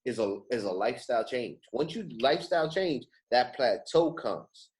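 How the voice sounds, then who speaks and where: A man's voice: medium pitch, lively intonation, moderate pace, darkish tone. A man hosting a casual podcast, chiming in to affirm and build on his guest's points. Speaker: podcast host. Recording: echoey room with faint noise.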